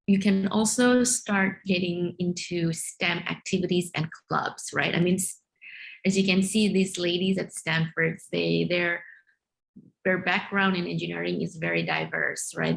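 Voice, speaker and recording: Female host, middle-aged. Gravelly, lowish voice, direct and encouraging with students. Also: fairly even intonation, measured pace, rough recording. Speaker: panel host. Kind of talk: informal advice to students. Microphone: video call.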